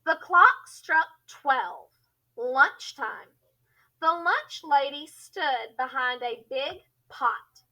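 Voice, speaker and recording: Lady teacher doing storytime for kids, high bright voice, really expressive, unhurried. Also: low-quality recording. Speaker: teacher reading aloud. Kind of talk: children's story read-aloud.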